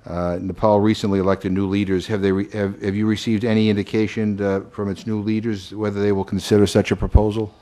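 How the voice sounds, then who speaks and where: Male hearing chairman, very low pitch, halting questioner with frequent restarts. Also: flat delivery, dark tone. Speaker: committee chairman.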